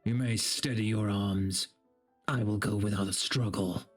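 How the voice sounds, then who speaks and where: A man's voice: on the low side, fairly even intonation, measured pace, soft background music, voice pushed down. A man voicing a proud, defiant barbarian warrior in a declamatory, mocking manner for a story reading.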